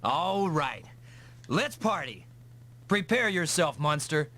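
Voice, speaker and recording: Casting: gung-ho young pilot hero for an anime-style game, confident, animated delivery. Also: male voice, fairly high pitch, slow pace, heavily acted. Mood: cocky. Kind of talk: dubbed anime-style video game acting. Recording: noisy vintage console game audio.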